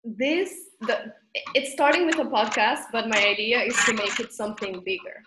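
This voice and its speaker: A woman's voice: fairly high pitch, moderate pace. A woman from Spain with a Spanish accent, a guest speaking in a casual interview and laughing often as she talks. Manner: animated, laughs often while talking. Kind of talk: conversational interview. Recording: echoey room with background noise.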